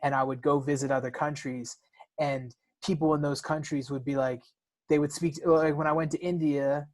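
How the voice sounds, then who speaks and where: A man's voice: fairly high pitch, fairly even intonation, normal speed. A man co-hosting a podcast, speaking reflectively in casual conversation, with frequent restarts and repeated words. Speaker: podcast co-host.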